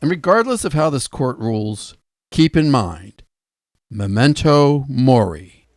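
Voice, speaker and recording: A man plays a principled, indignant prosecutor in a radio courtroom drama. He is measured and formal with an expressive pitch, recorded very dry. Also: no echo. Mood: stern. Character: earnest, grave prosecuting attorney, principled and indignant.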